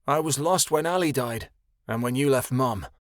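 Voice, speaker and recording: A man voicing a grieving teenage son who shouts bitterly at his father. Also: medium pitch, moderate pace, dry recording, studio quality, close to an everyday voice.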